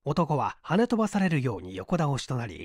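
A man's voice: medium pitch, sing-song, a little fast, dry recording, clean studio sound. A man reading a mystery story aloud as scripted audiobook narration. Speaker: audiobook reader.

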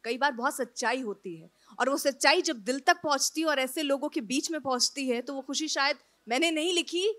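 A woman's voice: sits high, fairly quick, few pauses, a bit bright, no room sound. A woman moderating a panel discussion, speaking formally into a handheld mic.

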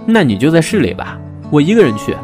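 Man acting a prickly, expressive young man. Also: on the high side, quick pace, over music. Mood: dry, teasing. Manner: curt, grumbling. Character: prickly, curt young academic, secretly fond of his boyfriend. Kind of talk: audio drama acting.

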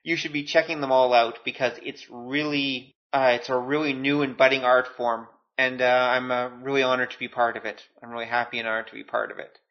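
A man's voice: medium pitch, flat delivery, a little fast, few pauses, muffled recording. A man hosting a podcast solo, talking informally with self-deprecating, joking asides. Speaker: podcast host. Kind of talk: casual solo podcast talk.

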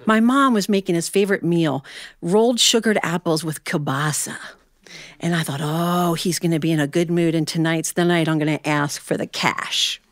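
A woman's voice: lowish voice, steady tone, moderate pace, no room sound. A middle-aged American woman, a storyteller telling a personal tale live to an audience. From Ohio, United States.

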